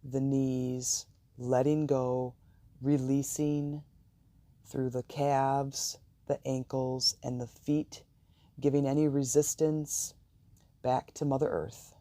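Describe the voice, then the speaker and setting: A meditation host with a soft, gravelly voice, guiding listeners calmly and soothingly with deliberate pauses. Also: medium pitch, no echo. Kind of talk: guided meditation and affirmations.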